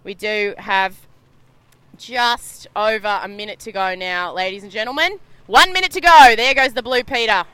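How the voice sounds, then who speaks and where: A woman from Sydney with a loud, bubbly, chatty manner, giving live commentary on a sailing race from a media boat. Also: medium pitch, normal speed, clean tone, no echo. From Australia.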